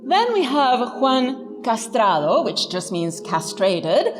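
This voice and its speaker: A woman's voice: fairly high pitch, sing-song, takes it slowly. A woman giving a scripted academic lecture as a professor, with a wry, emphatic manner. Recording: reverberant hall, music underneath.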